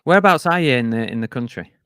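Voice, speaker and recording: A man hosting a podcast, chatting in a relaxed way with plenty of fillers. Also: mid-range voice, fast, smooth, no echo. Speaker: podcast host. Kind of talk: casual podcast chat with sponsor read.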